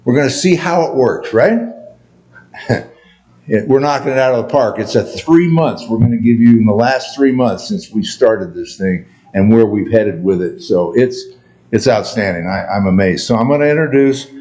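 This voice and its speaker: A quiet-voiced man presenting to a town board as county emergency manager, relaxed and joking, with plenty of fillers and restarts. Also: mid-range voice, unhurried, poor audio. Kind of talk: informal presentation at a public meeting. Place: town board meeting room.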